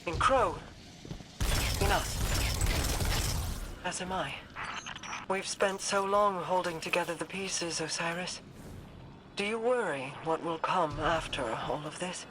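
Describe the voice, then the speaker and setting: An actress playing a regal, guarded queen in a video game, measured and formal with a dry edge. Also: fairly low pitch. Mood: pensive. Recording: clear background ambience noise.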